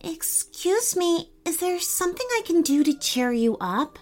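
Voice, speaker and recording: A woman voicing a kind, eager six-year-old girl in a children's storybook, gentle, friendly and reassuring. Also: high voice, huge pitch swings, measured pace, soft background music, pitched above the performer's own voice, heavily acted. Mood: gentle, welcoming.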